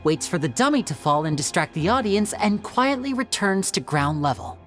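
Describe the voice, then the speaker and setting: A woman playing a poised stage magician in a video game, speaking in a theatrical, measured, confiding way. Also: on the low side, big pitch swings, faint music behind. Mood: poised, explanatory. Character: poised female stage magician explaining tricks and clues. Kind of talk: scripted video game voice acting.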